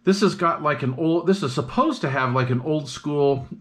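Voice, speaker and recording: A man's voice: a little high, normal speed, fairly bright tone. A man talks casually and slangily, thinking aloud as he demonstrates gear at a workbench. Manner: laid-back, slangy, muttering to himself. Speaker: hobbyist vlogger demonstrating radio repair. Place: indoor radio workbench. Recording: clearly noisy workbench recording with clicks.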